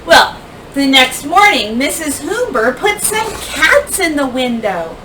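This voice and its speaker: A woman teacher reading a children's picture book aloud expressively, with deliberate phrasing, dramatic pauses and emphasis. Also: sits high, very animated. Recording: distant mic, faint background noise.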